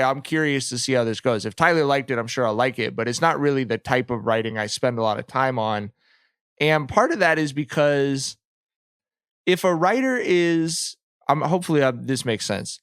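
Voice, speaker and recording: A man's voice: mid-range voice, a bit bright, smooth, no echo, clean studio sound. A man co-hosting a podcast, thinking aloud in casual, analytical discussion and checking that others follow. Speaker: podcast co-host.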